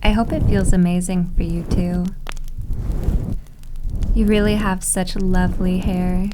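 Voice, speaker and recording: A woman's voice: on the low side, fairly even intonation, unhurried, close to an everyday voice. Woman acting a cooing, possessive yandere maid, noisy background. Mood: doting, teasing. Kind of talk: roleplay ASMR acting.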